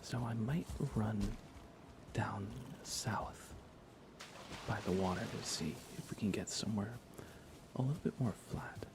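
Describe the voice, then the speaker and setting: A man speaking in a soft, breathy whisper, giving unhurried, gently playful ASMR commentary while he plays a video game for viewers. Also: fairly low pitch, noisy background. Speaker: livestreamer playing a video game.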